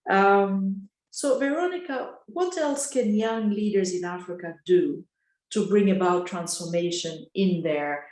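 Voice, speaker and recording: A woman moderating a discussion, polite and measured, with some uh fillers. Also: mid-range voice, a little mellow. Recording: echoey room.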